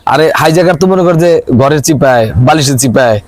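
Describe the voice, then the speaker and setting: A man's voice: fairly high pitch, a little fast, natural voice. A man with a Bangladeshi accent acting as a broke, aggrieved city office worker in a comic drama, grumbling to a friend in colloquial speech. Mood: animated grumbling. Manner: animated grumbling storyteller, colloquial and exasperated. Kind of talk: scripted comic drama dialogue.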